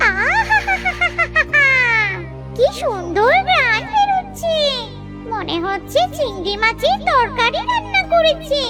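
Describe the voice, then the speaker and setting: A woman voicing a greedy, gleeful female tree ghost in a children's cartoon, with drawn-out words and cackling delivery. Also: extremely high pitch, very animated, over loud music, heavily acted. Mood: gleeful, craving.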